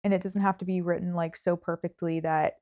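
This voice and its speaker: A woman's voice: lowish voice, level tone, brisk, warm tone. A woman podcast host in a casual book chat, talking informally with hedges and lots of "like" sprinkled through. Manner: informal, hedging, frequent "like".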